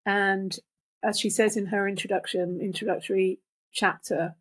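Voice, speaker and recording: A middle-aged or older woman talking casually and reflectively in halting phrases, as a guest reader. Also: medium pitch, level tone, breathy edge. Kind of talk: casual conversational discussion.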